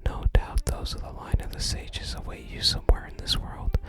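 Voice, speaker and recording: A person voicing a solemn ancient hero's message from a fantasy game, in a grave, archaic, breathy ASMR whisper. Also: normal speed, lightly acted. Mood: solemn, urging. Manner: grave, archaic, stately reading. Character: solemn ancient legendary hero speaking from a gravestone inscription.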